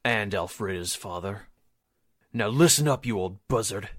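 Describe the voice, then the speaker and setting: Man acting a blunt, indignant young soldier, animated. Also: on the low side, moderate pace, no room sound, clean studio sound. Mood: indignant, threatening. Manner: scolding, folksy slangy tough talk. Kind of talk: 1940s radio drama acting.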